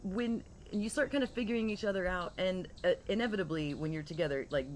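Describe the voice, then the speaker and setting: A woman's voice: lowish voice, quick pace, low-quality recording. A woman in her forties chatting casually and playfully as a podcast co-host on an outdoor porch. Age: 40s. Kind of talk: casual chat. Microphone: shared desktop microphone on table. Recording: clear ambient noise behind the voice.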